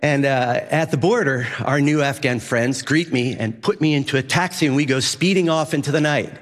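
A middle-aged man from Massachusetts, a journalist, telling a personal story in a lively, vivid way. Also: mid-range voice, normal speed, in a large hall. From Massachusetts, USA. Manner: vivid, animated when recounting action. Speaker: journalist and news editor.

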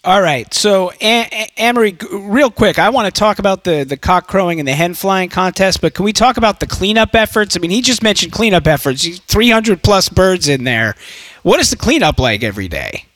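High-voiced, animated male interviewer. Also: brisk, dry recording. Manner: animated, jokey, reacts with exclamations. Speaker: interviewer and show host. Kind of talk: lively unscripted interview chat.

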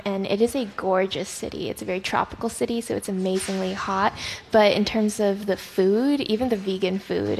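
A woman whose first language is Chinese, answering as an author guest in an interview, earnest and thoughtful, with soft fillers as she speaks. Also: medium pitch, gravelly, a little hiss or hum.

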